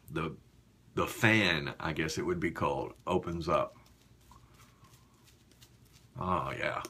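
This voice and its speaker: A man in his fifties chatting casually and haltingly to viewers in a small bathroom while busy with a task. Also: low-pitched, fairly bright tone. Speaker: shaving vlogger.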